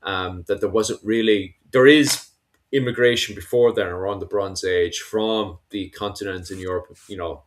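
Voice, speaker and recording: A man chatting casually about history, rambling with lots of fillers and restarts. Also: fairly low pitch. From Ireland. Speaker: podcast host.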